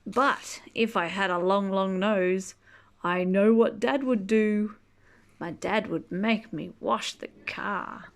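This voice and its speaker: A woman reading a rhyming children's book aloud expressively, drawing out key words and chatting gently to young listeners. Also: mid-range voice. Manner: animated, drawn-out key words, gentle questioning asides. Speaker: storybook reader reading to children.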